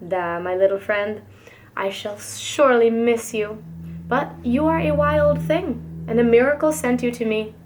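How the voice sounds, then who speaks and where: A woman's voice: fairly high pitch, a little slow, a little hiss or hum, lightly acted. A woman voicing a kind old Russian grandmother from a storybook, affectionate and exclamatory, with a few Russian words. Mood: fond, wistful.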